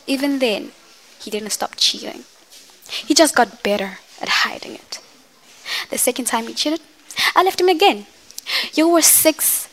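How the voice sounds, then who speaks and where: Casting: young woman playing a hurt but proud mother, higher-pitched voice, dramatic stage monologue to grown children. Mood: wounded, bitter. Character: wronged, defiant mother venting to her grown children.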